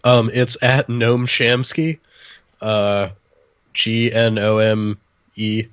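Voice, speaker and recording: A man's voice: fairly low pitch, level tone, slow pace, no room sound, lo-fi sound. A man chatting casually as a guest on a podcast. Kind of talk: casual chat.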